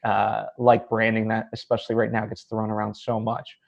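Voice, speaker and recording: A man's voice: fairly low pitch, completely flat delivery, normal speed, vocal fry. A man hosting a casual interview, talking in a discursive way and often checking in with his guest. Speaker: interview host. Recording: slightly dull, lo-fi sound.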